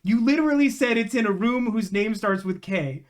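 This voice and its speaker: A man's voice: high voice, expressive, moderate pace. A man chatting casually on a live game stream, rambling and emphatic, with slangy phrasing.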